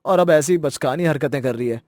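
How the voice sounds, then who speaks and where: A man playing a calm young husband explaining himself in a moral-story cartoon. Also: fairly high pitch, fairly quick, natural voice. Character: calm young husband making excuses for his wife.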